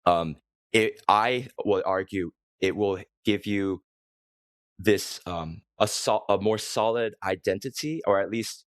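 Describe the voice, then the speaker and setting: A man's voice: on the low side, takes it slowly, no echo, clean studio sound. A man with a Korean American accent, talking earnestly and at length as a podcast host. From United States. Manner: earnest, long-winded, laughs easily. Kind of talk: casual podcast conversation.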